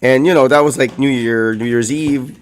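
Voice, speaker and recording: A man in his thirties, still a bit hoarse, co-hosting a casual talk show and rambling with lots of "like" and "you know". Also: medium pitch, fairly even intonation, normal speed. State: hoarse, recovering from a lost voice. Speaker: co-host. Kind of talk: casual chat.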